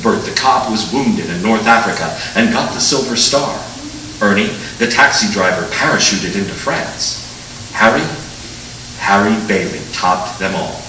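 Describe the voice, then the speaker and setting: An actor playing an authoritative senior angel narrator, telling the story dramatically in measured 1940s radio style. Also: male voice, fairly low pitch, lively intonation, bright, forceful tone. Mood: solemn storytelling. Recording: echoey stage, distant mic, noisy.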